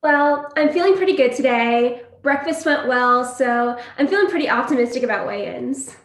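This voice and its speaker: A woman's voice: sits high, lively intonation, moderate pace. A woman playing a defensive young woman patient in a staged play reading, recorded in an echoey room. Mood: upbeat.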